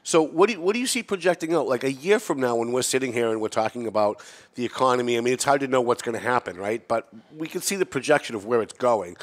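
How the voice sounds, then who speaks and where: A man's voice: medium pitch, animated, quick pace. Chatty fifty-something man hosting a podcast. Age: 50s. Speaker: podcast host. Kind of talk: casual interview chat. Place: TV-style studio set.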